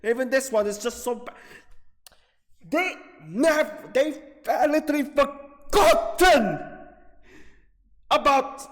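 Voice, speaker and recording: A man with a very high-pitched voice rants off the cuff like an agitated gaming video creator. He is profane and repetitive, stretching words for emphasis in halting bursts. The sound has a long, hall-like reverb. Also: lively intonation, a bit bright. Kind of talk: angry off-the-cuff rant about video games.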